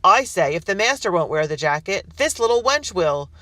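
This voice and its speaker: A narrator voicing shouting men of a rebel mob taunting a loyalist family. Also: medium pitch, completely flat delivery, normal speed, vocal fry, no echo, studio quality. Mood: threatening. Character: jeering rebel mob men, gleeful and cruel.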